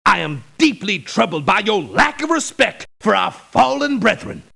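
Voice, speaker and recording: An actor playing a pompous, pious preacher turned ruthless mercenary in a video game, sermonizing in formal biblical phrases with a gravelly rasp. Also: male voice, high-pitched, very animated, moderate pace, sharp tone, exaggerated voice. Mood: sanctimonious, solemn. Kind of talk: theatrical video game voice clips. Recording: clipped lo-fi game voice samples.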